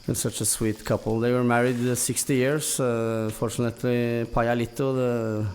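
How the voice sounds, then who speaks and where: A man from Norway with a Norwegian accent, chatting casually in a halting, rambling way as a podcast guest. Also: mid-range voice, flat delivery, darkish tone, slight background noise. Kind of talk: casual chat, commenting on photos.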